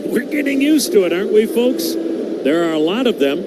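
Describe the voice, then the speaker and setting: A man's voice: high-pitched, sing-song, moderate pace. A man with an American accent giving live baseball commentary as a radio announcer. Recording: very noisy live broadcast.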